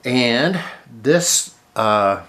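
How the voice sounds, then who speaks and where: A man with a cold, sounding nasal, casually narrating an unboxing of model airplanes with many filler sounds and throat clearing. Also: medium pitch, animated, extremely slow, fairly bright tone.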